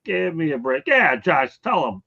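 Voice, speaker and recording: A man's voice: a little high, big pitch swings, measured pace, creaky, pushed and bright, muffled recording. A man hosting a casual sports talk show, bluntly teasing and ranting at his co-hosts and viewers. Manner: blunt, teasing, addresses chat viewers by name.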